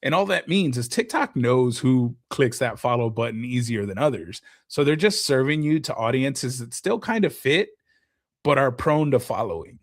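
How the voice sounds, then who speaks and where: Animated man explaining creator tips. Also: medium pitch, normal speed. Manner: animated, explains with gaming comparisons. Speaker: guest content creator. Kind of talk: casual podcast chat.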